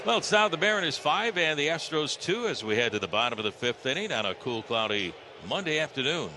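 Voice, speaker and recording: A man's voice: fairly high pitch, big pitch swings, fairly quick. An American man doing live baseball play-by-play on the radio, describing the action as an announcer. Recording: clearly noisy live ballpark radio broadcast.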